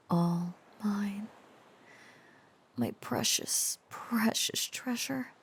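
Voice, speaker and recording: A woman playing a tender, protective dragon-blooded girlfriend in an intimate romantic audio roleplay, halting and earnest, often slipping into whispers. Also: on the high side, gravelly, a little mellow. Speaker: voice actor.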